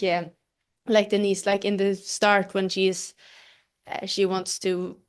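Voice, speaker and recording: A young actress from Sweden answering interview questions hesitantly, with many fillers. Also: female voice, medium pitch, clear, resonant tone. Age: young adult. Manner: hesitant, lots of fillers, laughs easily. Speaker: actress guest.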